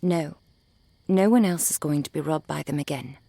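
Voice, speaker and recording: A woman acting a composed, refined, cold-hearted merchant in an ASMR roleplay, with formal, precise, measured diction. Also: low voice, gravelly, dark tone, no echo. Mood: cool, matter-of-fact. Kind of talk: ASMR roleplay audio drama.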